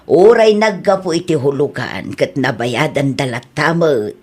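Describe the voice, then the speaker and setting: A woman's voice: sits low, animated, a little slow, a little mellow, breathy edge, heavily acted. A woman playing a patient Ilocano mother. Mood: advising. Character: caring middle-aged mother, gently advising. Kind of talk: audio drama acting.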